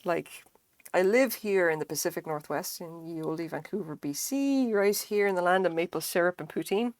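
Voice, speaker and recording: A woman's voice: on the low side, normal speed, dry recording. Chatty Irish woman streaming, dry close sound. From Ireland. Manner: chatty, rambling, swears freely, laughs often. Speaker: crochet streamer. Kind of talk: casual live-stream chat.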